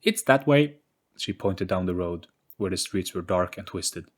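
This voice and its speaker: Low-voiced man narrating a spooky story. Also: level tone, moderate pace, husky. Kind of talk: scripted dramatic story narration.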